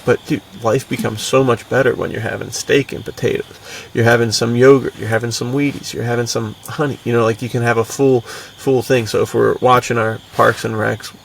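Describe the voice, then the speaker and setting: A man with a gravelly, creaky voice, talking as a podcast co-host in a casual, enthusiastic, slangy way. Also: mid-range voice, flat delivery, brisk, few pauses, recorded from a distance, a little hiss or hum.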